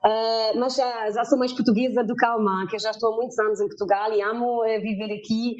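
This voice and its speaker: A woman in her fifties, from Germany, speaking as a guest in an interview and telling her story haltingly with many filler sounds. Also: fairly high pitch, level tone, a little mellow, highs cut off.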